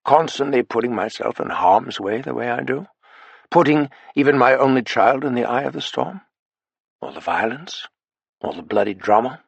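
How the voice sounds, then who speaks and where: A man's voice: mid-range voice, lively intonation, moderate pace, vocal fry, breathy edge, dry recording, dull audio. A man voicing a weary aristocratic male agent who turns urgent and clipped when alarmed.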